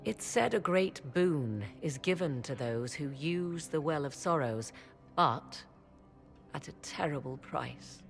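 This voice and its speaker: An actress playing a cool, sardonic female witch scholar who speaks in a measured, dry, aloof way. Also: sits low, lively intonation, gravelly, exaggerated voice. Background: faint music.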